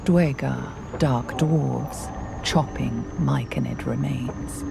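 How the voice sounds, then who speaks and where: A woman with a very deep voice narrates a fantasy game over loud music. She is slow and measured, with a gravelly creak and a hushed, dramatic storyteller's delivery. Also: background noise.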